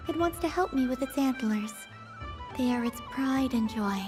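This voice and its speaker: A woman voicing a gentle, thoughtful young heroine in a video game, hesitant and musing. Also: high voice, animated, normal speed. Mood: musing, kindly. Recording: game music behind the voice.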